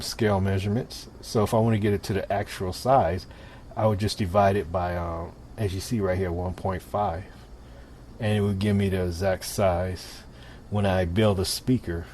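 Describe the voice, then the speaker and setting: A man with a low voice gives an informal tutorial, talking through each step while he demonstrates. His delivery is casual and conversational. There is some noise behind him on the recording. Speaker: tutorial presenter. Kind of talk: casual software tutorial narration. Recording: clear steady background noise.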